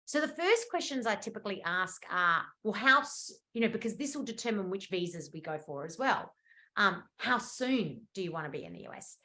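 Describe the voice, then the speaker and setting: A woman in her forties from Australia with an Australian accent, giving a chatty webinar presentation over a video call with lots of fillers and quick restarts. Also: mid-range voice, expressive, sharp tone. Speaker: immigration lawyer presenting at a webinar. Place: indoor room at home or office.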